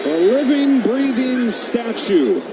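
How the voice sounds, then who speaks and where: A man narrating baseball highlights in an emphatic, measured way, from a noisy broadcast clip. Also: big pitch swings.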